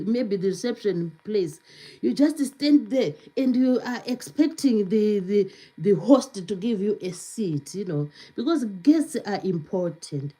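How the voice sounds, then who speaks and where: A woman's voice: a little high, dark tone, poor audio. A woman hosting a live stream, chatting casually, reading viewer comments aloud, repeating words and laughing often.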